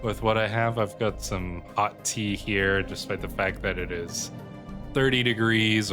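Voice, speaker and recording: A man from Canada with a bad cold, giving casual, musing commentary as host of a strategy game roleplay video. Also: deep voice. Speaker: YouTube gaming host. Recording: loud music under the voice. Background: music.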